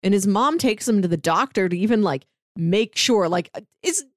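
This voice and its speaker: Casting: female podcast panelist, medium pitch, expressive casual storyteller, close dry sound. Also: moderate pace, no echo. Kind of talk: casual chat, retelling and reviewing.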